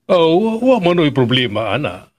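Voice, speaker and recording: A man's voice: fairly high pitch, very animated, normal speed. An actor with a Filipino accent playing a worried middle-aged father in a radio drama, questioning his son hesitantly.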